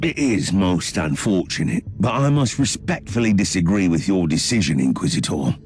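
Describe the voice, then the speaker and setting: An actor playing a courteous, dryly sardonic dignitary, formal and deliberate, in a theatrical game cutscene. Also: male voice, sits low, expressive, darkish tone. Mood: measured, diplomatic.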